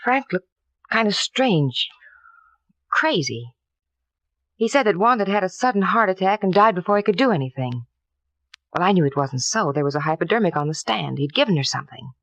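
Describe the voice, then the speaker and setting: An actress playing a frightened, defensive American doctor's wife, earnest and halting, in a 1950s radio drama. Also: female voice, fairly low pitch, animated, fairly bright tone, no room sound. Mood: haunted, confessing. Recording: dull, dry vintage radio recording.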